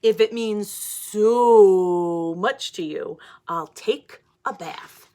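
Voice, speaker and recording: A woman's voice: mid-range voice, mellow. Brief: woman performer as huffy, childish boy pigeon for a storybook, stubborn, melodramatic, stretched-out exclamations. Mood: grudging, huffy. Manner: exclamatory, drawn-out, melodramatic delivery. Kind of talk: picture-book read-aloud.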